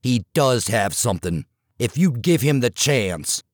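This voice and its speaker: A man's voice: medium pitch, sing-song, measured pace, no room sound, studio quality, pitched below the performer's own voice. A man reading the part of a male assistant coach, controlled and defiant.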